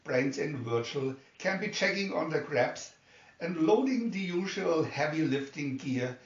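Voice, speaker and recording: A man voicing an eager, dutiful son who is a rescue pilot, brisk and businesslike. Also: a little high, lively intonation. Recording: muffled, echoey room, distant mic.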